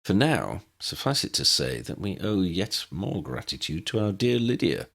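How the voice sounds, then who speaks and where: Man acting a bookish uncle, gravelly, measured, ornate phrasing, dry wit, storybook character. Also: sits low, big pitch swings, fairly bright tone, pitched below the performer's own voice, full character voice. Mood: courtly, grateful. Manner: measured, wordy, wry.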